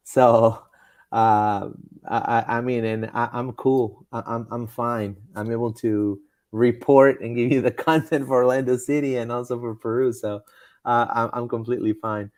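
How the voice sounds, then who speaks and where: A man's voice: medium pitch, fairly even intonation, a little slow, a little mellow, no room sound. A man hosting a casual live soccer stream, rambling with lots of fillers and stumbles as he reads comments and rumors. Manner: rambling, with frequent fillers and repeated words. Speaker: podcast host.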